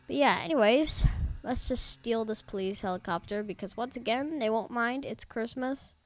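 A woman's voice: on the high side, moderate pace. A woman gaming YouTuber giving casual, rambling let's-play commentary, talking straight to her viewers, her voice a little off from allergies. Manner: chatty, rambling, talks to viewers as "guys". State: slightly off voice from allergies.

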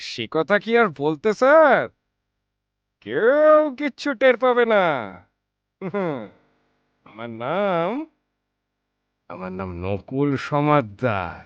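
A man's voice: fairly high pitch, very animated, takes it slowly, long pauses, clear, resonant tone, dry recording. Sly, swooping-voiced henchman. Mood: smug boasting. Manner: obsequious, smug, drawling. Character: sly, fawning, boastful henchman. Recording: dull, dry studio sound.

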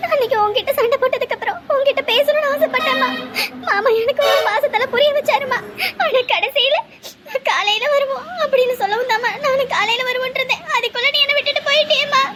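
A tearful young village girl, voiced by a woman, sobbing and pleading as she grieves. The voice is very high and she talks through tears. Her words come out broken by crying. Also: little pitch movement, a little slow, pauses often. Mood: wailing. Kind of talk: one-person village family skit.

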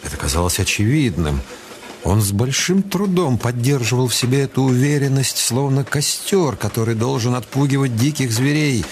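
A man narrating a detective radio play in a measured, dramatic way with deliberate pauses. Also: mid-range voice, animated, a little hiss or hum. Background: music.

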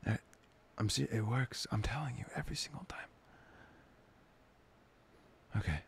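A young man playing a soft, playful, devoted boyfriend in an intimate ASMR roleplay, breathy, hesitant and often near a whisper. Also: mid-range voice, moderate pace, a little hiss or hum, close to an everyday voice. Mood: teasing, playful.

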